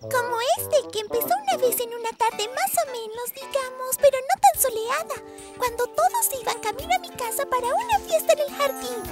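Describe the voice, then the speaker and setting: A woman voicing a sweet, cheerful little girl in a children's cartoon, expressive and encouraging. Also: very high-pitched, brisk. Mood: storytelling, lively. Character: sweet cheerful little girl, upbeat leader among friends. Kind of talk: animated children's cartoon dub in Spanish. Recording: music playing behind the voice.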